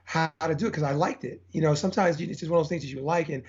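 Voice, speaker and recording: A man's voice: a little high, racing, darkish tone, husky, lo-fi sound. A middle-aged man from Texas, a podcast guest who rambles energetically with many restarts. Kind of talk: casual interview chat.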